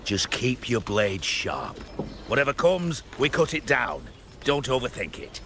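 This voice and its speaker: An actor playing a seasoned, steady, wry hunter in a video game, with a matter-of-fact, emphatic delivery. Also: male voice, medium pitch, unhurried, background noise. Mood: resolute.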